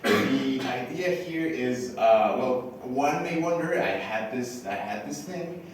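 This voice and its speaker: A man's voice: medium pitch, lively intonation, slow. A man hosting a conference session, introducing the speaker in a casual, filler-heavy way. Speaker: event host. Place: large hall. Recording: echoey hall from far off, faint hiss.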